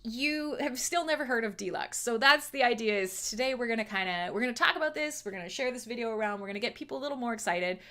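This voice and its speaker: A woman's voice: a little high, quick pace, hardly any pauses, sharp tone. A Canadian woman hosting a casual livestream chat, playful and chatty, laughing often as she talks. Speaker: livestream host.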